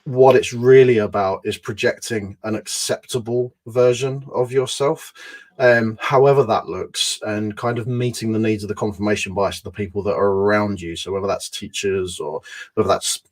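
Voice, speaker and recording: A man's voice: on the low side, fairly even intonation, normal speed. A man in his forties talking casually as a guest on an online video call, thoughtful, with fillers and restarts. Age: 40s. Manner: thoughtful, many fillers and restarts, laughs often.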